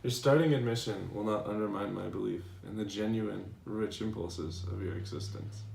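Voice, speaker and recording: A man playing a devoted philosopher reading his tender love letters aloud in a measured, earnest dramatization. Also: lowish voice, vocal fry, darkish tone. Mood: reflective, reassuring. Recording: echoey room, distant mic, faint noise.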